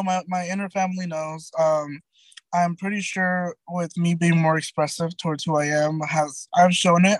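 A young man around twenty with a light, somewhat high voice, talking as a guest in a casual interview. He rambles in a friendly way, full of "like" and "um", and often restarts his sentences. The sound is close and dry. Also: dry recording, poor audio.

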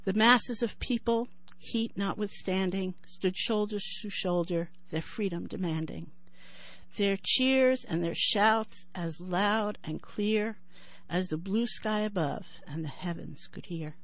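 A woman in her sixties with a New York accent who talks thoughtfully with many pauses and then reads her poem in a measured rhythm. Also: medium pitch. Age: 60s. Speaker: poet and writer. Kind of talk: interview and poetry reading.

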